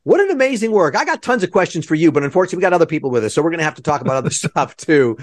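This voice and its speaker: A man's voice: a little high, big pitch swings, very fast. A man hosting a theater podcast, enthusiastic and rambling as he introduces his guests. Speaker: podcast host. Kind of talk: casual interview.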